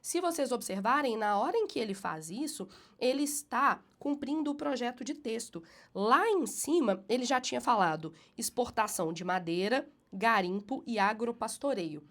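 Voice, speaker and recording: A woman teacher correcting a student essay, slowing to read passages aloud with emphatic rises, then explaining briskly; she has a cold and sounds a bit nasal. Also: a little high, expressive.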